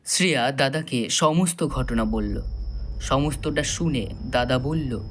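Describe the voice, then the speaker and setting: A man narrating a scripted story solemnly and dramatically, with measured pauses. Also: medium pitch, fairly bright tone, slight background noise.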